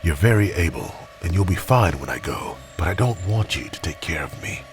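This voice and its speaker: A man playing a sick, dying older father in an audio drama, speaking weakly and gently with quiet dignity. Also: sits low, animated, brisk, over music. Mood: gentle, earnest.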